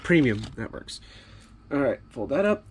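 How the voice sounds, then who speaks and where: Male host, stuffy with a cold, mid-pitched, laid-back and rambling as he walks through a fix. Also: moderate pace, noisy background. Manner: relaxed, rambling, self-deprecating. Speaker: hobby channel host. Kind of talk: casual repair walkthrough.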